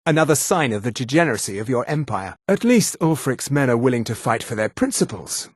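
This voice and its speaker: Fantasy game role: imperious high elf officer, disdainful and formal, expressive pitch, slightly husky, dry studio sound. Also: male voice, fairly quick, no room sound. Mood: haughty, menacing. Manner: disdainful, formal, clipped authority. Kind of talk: fantasy video game character dialogue.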